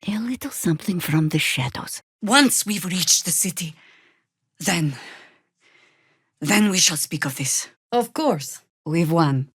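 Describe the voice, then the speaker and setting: Low-voiced, world-weary warrior woman. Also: animated, slow, husky, dry recording, heavily acted. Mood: low, dry. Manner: dry, sardonic, commanding. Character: world-weary, wry older female druid warrior, battle-hardened. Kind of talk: video game character voice acting.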